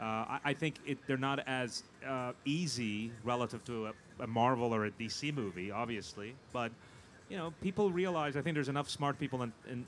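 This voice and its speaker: A man's voice: medium pitch, a bit bright. Emphatic man on a noisy panel. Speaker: film producer and panel guest. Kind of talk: conversational panel interview answers. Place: fan convention panel. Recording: live event floor with background noise.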